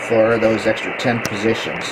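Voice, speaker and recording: A man giving a casual, hands-on video walkthrough as a hobbyist, explaining technical gear in a matter-of-fact, halting way into a handheld camera. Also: lowish voice, fairly even intonation. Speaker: ham radio hobbyist showing his equipment. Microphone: handheld camera microphone. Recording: clearly noisy handheld camera recording.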